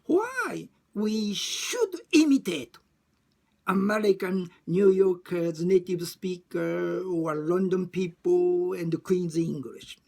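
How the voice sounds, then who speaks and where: Halting Japanese-accented woman, husky and gravelly. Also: lowish voice, a little mellow. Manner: halting, emphatic, repeats phrases in non-native English. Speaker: discussion group chair and facilitator. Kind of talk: informal monologue about personal experience.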